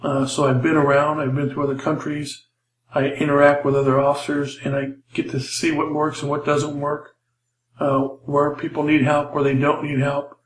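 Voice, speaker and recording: Older male ex-cop instructor, monotone and unpolished, recorded in a roomy space. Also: fairly high pitch, slight background noise. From Los Angeles, USA. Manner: plain, halting, many fillers and restarts. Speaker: retired police officer teaching a class. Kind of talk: casual spoken lecture intro.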